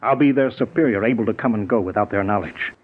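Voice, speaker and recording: A man's voice: on the low side, fast talker, lightly acted. An actor playing an arrogant, resentful inventor drunk on his own power in a 1940s radio drama, insistent and gloating. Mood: gloating, defiant. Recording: tinny, noisy 1940s radio recording.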